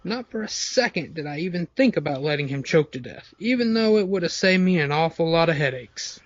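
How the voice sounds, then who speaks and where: A man's voice: on the high side, lively intonation, brisk, dull audio. An amateur actor playing a drugged, foul-mouthed rich man, panicky and frantic, in an audio drama. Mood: wry, resentful.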